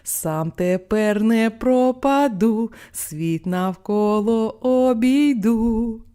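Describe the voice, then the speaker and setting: A woman's voice: on the high side, extremely slow, mellow, clean tone, soft background music. A woman voicing a boastful, quarrelsome little male duckling in a children's storybook tale. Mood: boastful singing. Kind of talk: storybook character voice.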